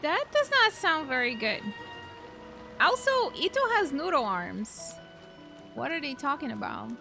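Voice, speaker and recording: A woman's voice: high-pitched, sing-song, a little slow, vocal fry. A woman gaming streamer giving casual, playful reactions during a let's play. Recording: game music behind the voice.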